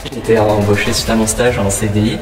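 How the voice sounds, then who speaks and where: A man's voice: on the low side, flat delivery. A man in his twenties from the south of France giving a hesitant workplace testimony. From Fréjus, southern France. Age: 20s. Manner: hesitant, many filler pauses. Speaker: company employee giving testimony. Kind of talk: casual interview testimony. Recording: roomy, distant mic, background music.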